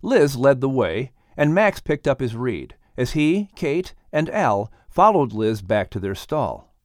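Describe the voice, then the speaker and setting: A man's voice: mid-range voice, big pitch swings, measured pace, a little mellow, no echo, clean studio sound. A man hosting and narrating a scripted animal story as a storyteller. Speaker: host and narrator.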